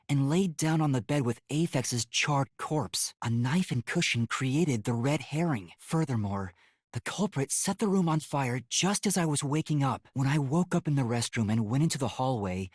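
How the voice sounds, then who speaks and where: A man's voice: medium pitch, lively intonation, normal speed, breathy edge, dry recording, clean studio sound. A man voicing an earnest, timid yet determined young detective in a video game, boyish and carefully enunciated. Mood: grave, explanatory. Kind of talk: English video game voice acting, scripted.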